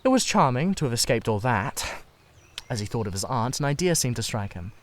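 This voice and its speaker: A man's voice: mid-range voice, very animated, a little fast. A man reading literary narration as an amateur storyteller in a dramatised audiobook.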